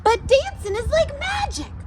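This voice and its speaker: A woman voicing a groovy, upbeat young male storybook cat for children, with a drawn-out, rhythmic, earnest delivery. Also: very high-pitched, big pitch swings, very noisy, pitched above the performer's own voice, full character voice. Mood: upbeat, determined. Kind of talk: storybook read-aloud for children.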